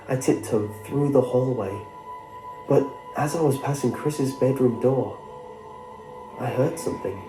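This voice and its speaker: A man reading a scripted first-person horror story in a measured storytelling way. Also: mid-range voice, warm tone. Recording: roomy sound with music behind. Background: background music.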